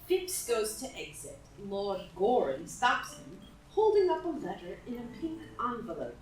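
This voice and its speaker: A woman's voice: a little high, very animated, measured pace, slight background noise. A woman reading stage directions as scripted narration for a play. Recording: echoey room, distant mic, music.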